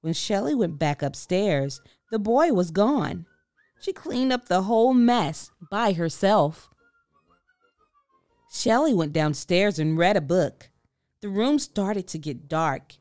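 A woman reading a children's book aloud with expressive, deliberate storytelling. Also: medium pitch, dry recording, studio quality, faint music behind. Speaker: storybook reader. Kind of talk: children's read-aloud narration.

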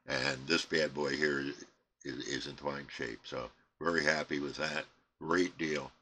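A middle-aged American man giving a casual, unscripted show-and-tell, rambling and halting as he trails off. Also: very deep voice, fairly even intonation, pushed and bright. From United States. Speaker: CB radio hobbyist presenting his equipment. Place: indoor radio bench crowded with equipment. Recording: clear background noise, room ambience.

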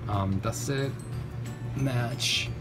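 A man's voice: medium pitch, very slow, loud music behind the voice. A man in a casual unboxing review of toys, speaking off camera with gushing enthusiasm. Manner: enthusiastic, gushing, lots of "really, really". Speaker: toy reviewer. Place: indoors, tabletop setup. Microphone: off-camera narration, camera on hands.

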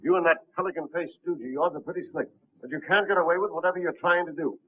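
An actor playing a gruff, suspicious middle-aged police captain in a 1930s film, speaking bluntly in clipped phrases. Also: male voice, on the high side, steady tone, fast, close to an everyday voice. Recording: noisy, tinny 1930s film soundtrack.

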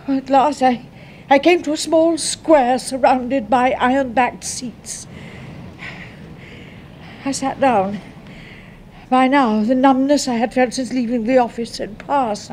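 A prim English spinster secretary in an old radio horror play. Her voice is very high, prudish and overwrought, with a vengeful, unhinged edge. The vintage recording has audible noise. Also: darkish tone. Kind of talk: 1970s radio horror drama acting.

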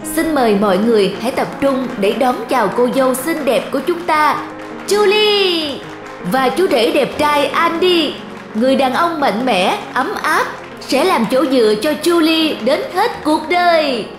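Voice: sits high, expressive, unhurried, roomy sound. An actor voicing a cheerful, grand male wedding announcer in a cartoon, declaiming festively. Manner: celebratory, declamatory. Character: cheerful, grand wedding master of ceremonies, man. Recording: music clearly behind the voice.

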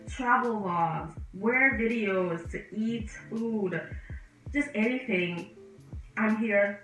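A young Bahamian woman talks straight to the camera in a chatty, animated vlog from a chair. Her voice bounces around a bare, echoey room and sounds a little distant from the mic. Faint music plays underneath. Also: medium pitch. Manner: lively and animated, gesturing with both hands, chatty and direct to viewers. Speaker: vlogger and medical student. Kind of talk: casual sit-down vlog talking to camera.

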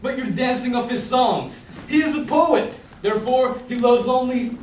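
A man's voice: extremely high pitch, normal speed, darkish tone. A young man playing a mocking, smug Death in an amateur stage play, with a sardonic, taunting delivery. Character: mocking, smug hooded Death, male, bargaining for souls. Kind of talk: theatrical stage acting. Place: indoor theatre stage. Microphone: distant audience camera. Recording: echoey theatre stage from far off, faint noise.

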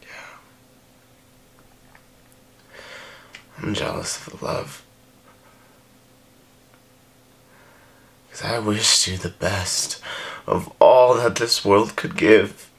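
A man's voice: fairly low pitch, creaky, slight background noise, voice pushed down. Man acting a tearful, heartbroken jealous young lover. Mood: subdued grief. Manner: halting, emotional, breaking into whispers and cries. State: crying. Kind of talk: dramatic monologue reading. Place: small indoor room.

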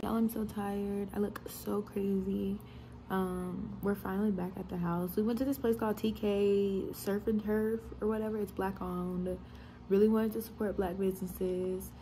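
A woman's voice: mid-range voice, level tone, moderate pace, warm tone, a little hiss or hum, poor audio. Casual young woman vlogger. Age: 20s. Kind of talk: casual vlog chat. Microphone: phone at arm's length.

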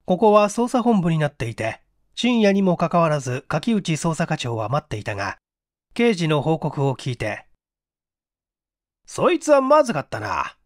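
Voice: medium pitch, very animated, normal speed, no room sound, studio quality. A stage performer narrating a literary mystery story in a dramatic audiobook style.

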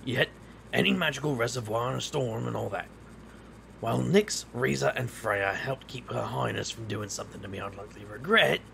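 Gravelly, wisecracking magician played by a man. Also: medium pitch, a bit bright, a little hiss or hum. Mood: wry narrating. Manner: wry, bantering. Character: wisecracking, cocky male magician, scrappy urban fantasy hero. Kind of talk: audio drama dialogue and first-person narration.

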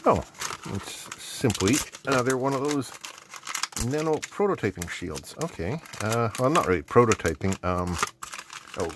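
A man's voice: medium pitch, very animated, normal speed, noisy background. A middle-aged man giving casual unboxing commentary, rambling and thinking aloud as he goes. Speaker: host. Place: indoor hobby workbench.

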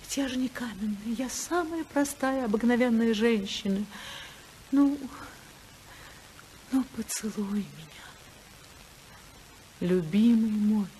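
An actress playing a passionate, unhappy senator's wife in love, in a fervent, emotional 1950s radio drama style. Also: female voice, a little high, takes it slowly, pauses often, mellow, slight background noise, poor audio. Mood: pleading, amorous.

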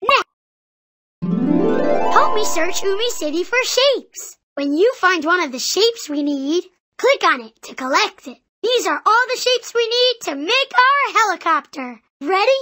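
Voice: very high voice, very animated, a little slow, sharp tone, dry recording, exaggerated voice. A performer playing a cheerful little boy builder who guides a children's cartoon game with upbeat, simple encouragement. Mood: upbeat, encouraging.

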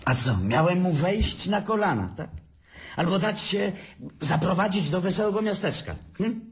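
Drunk, cruel older brother, played by a man for radio drama; mocking, bitter, slightly raised pitch. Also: expressive, moderate pace. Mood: taunting. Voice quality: sneering, laughs often.

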